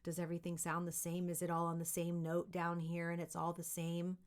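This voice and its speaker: A woman's voice: on the low side, completely flat delivery, a little fast. A woman giving an informal tutorial as a voice acting coach, talking directly and expressively to kids and parents in an encouraging way. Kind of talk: informal tutorial talk to camera.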